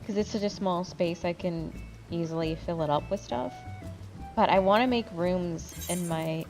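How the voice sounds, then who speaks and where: Low-pitched woman in casual gaming chat. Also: moderate pace, a little mellow, soft background music. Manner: blunt, casual swearing. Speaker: gaming video host. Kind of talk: casual chat while playing an online game.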